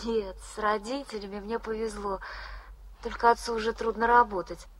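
An actress playing a frank, gentle young woman student from Yakutia who speaks softly and earnestly. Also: medium pitch, little pitch movement, moderate pace, lightly acted. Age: young adult. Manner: soft, earnest, often hushed. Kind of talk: 1980s radio drama acting.

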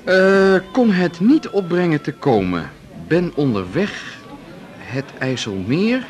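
An actor playing a wry, teasing musician bridegroom in a radio drama, with a Netherlands Dutch accent. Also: male voice, fairly high pitch, big pitch swings, slow, a little mellow, faint music behind. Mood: mock reciting. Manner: wry, mocking, repeats words.

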